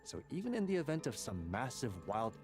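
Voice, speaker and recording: Earnest, lively young guard. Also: male voice, mid-range voice, a little fast. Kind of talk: video game voice acting. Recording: game audio with clear music.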